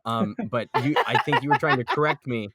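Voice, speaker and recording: A man's voice: mid-range voice, brisk, heavy background noise. Rambling, joking man in casual chat. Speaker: podcast host. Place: close voice chat recording.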